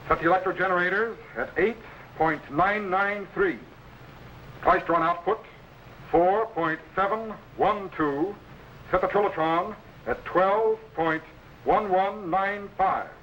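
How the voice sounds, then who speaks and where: Male space-time explorer: calm authority, crisp measured orders, bright tone, faint hiss of an old serial. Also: sits high, low-quality recording. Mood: commanding, precise. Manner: instructive, precise, measured commands. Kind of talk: 1950s science fiction serial acting.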